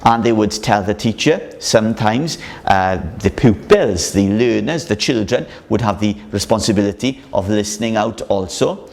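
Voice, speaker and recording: A man's voice: fairly low pitch, normal speed, vocal fry, few pauses, a little mellow. A Welsh man, a minister, giving an earnest talk to a chapel audience, with frequent ums and uhs. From Wales.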